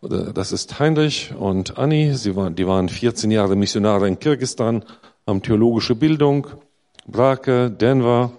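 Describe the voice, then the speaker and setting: A middle-aged man giving a church mission presentation, talking in a halting way with many fillers and restarts. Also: medium pitch, darkish tone.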